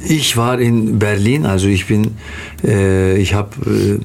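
A middle-aged man with a Turkish accent gives conversational interview answers. His voice is low and very slow, with frequent pauses as he searches for words. There is faint noise behind him. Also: steady tone, gravelly, darkish tone. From Turkey. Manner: halting, pausing often to search for words. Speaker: interview guest, theatre actor.

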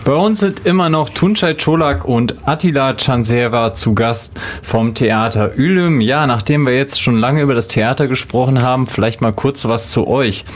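A man's voice: mid-range voice, normal speed, hardly any pauses. Male radio interview host.

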